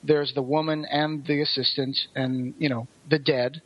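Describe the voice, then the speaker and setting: A man's voice: a little high, flat delivery, moderate pace, dry recording, highs cut off. A man co-hosting a podcast, talking through a review in a casual, rambling, explanatory way. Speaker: podcast co-host.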